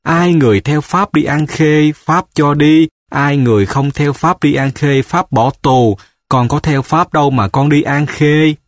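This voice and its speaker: A man's voice: on the high side, unhurried, few pauses, no echo, studio quality, lightly acted. A man voicing an old village woman, a worried, tearful mother who pleads and calls out in a raised voice. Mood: pleading.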